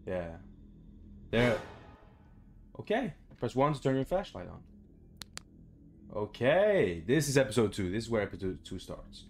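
A man's voice: medium pitch, very animated, a little slow, long pauses, faint music behind. A young man giving casual, sweary let's play commentary, muttering to himself as he goes. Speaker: gaming video host. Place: small room indoors at home.

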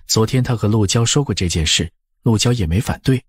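A man's voice: lowish voice, brisk, husky, no echo, clean studio sound. A man narrating a historical novel as a scripted audiobook.

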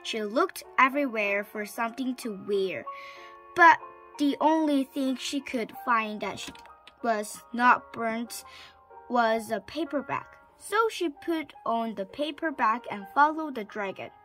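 A woman's voice: a little high, expressive, a little slow, a bit bright, faint music behind. A woman reading a children's picture book aloud. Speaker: storybook reader.

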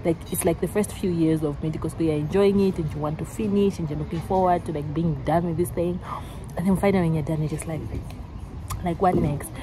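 A woman's voice: sits low, fairly even intonation, fairly quick, background noise. A woman in her twenties chatting casually in a vlog, rambling with many fillers and restarts. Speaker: vlogger. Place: out and about, then a café.

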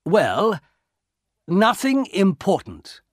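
A grave old soldier from a storybook, acted out by a male reader. He speaks slowly and solemnly in a raised, swinging voice. He is wary and weighs out each warning with emphasis. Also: sits high, huge pitch swings, dry recording, clean studio sound, pitched above the performer's own voice, exaggerated voice. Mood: firm, evasive.

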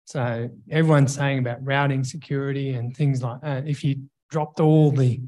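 A man's voice: mid-range voice, fairly even intonation, moderate pace, warm tone. A man who works as a trainer, explaining his view informally at a roundtable.

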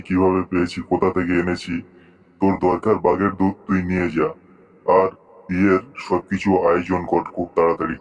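A man voicing a boastful, gullible tiger uncle in a cartoon, blustering and impatient. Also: deep voice. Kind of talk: cartoon story dialogue.

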